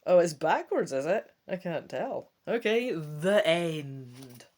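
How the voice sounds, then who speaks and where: Expressive woman with very lively pitch. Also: slow. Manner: theatrical, expressive, wry. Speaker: gamebook let's play presenter. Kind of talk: dramatic story reading with chatty asides.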